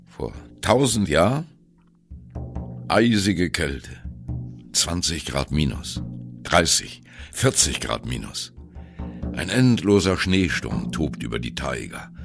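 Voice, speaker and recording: A man's voice: extremely low pitch, big pitch swings, slow pace, vocal fry, long pauses, heavily acted. An actor playing a sardonic jazz musician in a radio play, confiding his absurd story to the listener in a dramatic, wry manner. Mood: dramatic storytelling. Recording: music behind the voice.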